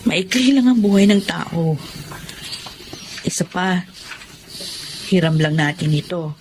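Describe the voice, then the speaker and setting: An actress with a Filipino accent playing a devoted, philosophical wife in a radio-style drama. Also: female voice, lowish voice, lively intonation, takes it slowly, noisy background. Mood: gentle, reflective.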